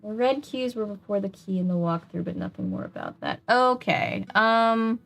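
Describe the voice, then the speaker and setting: A woman's voice: medium pitch, expressive, normal speed, vocal fry, a bit bright. Chatty woman streamer over game music. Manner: rambling, chatty. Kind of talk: casual chat while gaming.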